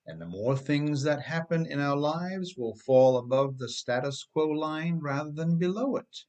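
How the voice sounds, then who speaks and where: A middle-aged man, an author reading his own scripted motivational writing with emphatic, deliberate phrasing. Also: on the high side, expressive, a little mellow.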